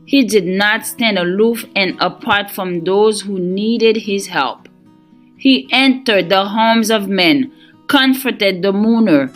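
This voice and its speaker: A woman reading a scripted devotional in a measured, earnest manner. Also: medium pitch, a bit bright. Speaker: devotional reader.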